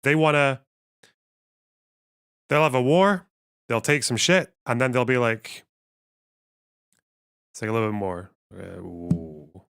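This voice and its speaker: Clear-voiced man rambling through a livestream. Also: mid-range voice, slow, pauses often, fairly bright tone. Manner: thinks aloud, rambling and wry. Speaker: livestream host. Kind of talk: casual livestream commentary, reading chat.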